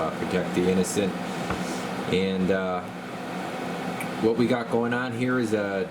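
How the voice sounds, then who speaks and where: A man working as a CB radio technician, talking casually at his workbench with easygoing pauses and frequent fillers. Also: low voice. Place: repair shop workbench. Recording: loud steady fan hum behind.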